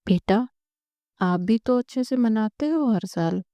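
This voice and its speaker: A woman voicing a calm, resigned mother and elder daughter-in-law, measured and gentle, in a cartoon family story. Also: fairly high pitch, dry recording. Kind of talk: Hindi cartoon story dialogue.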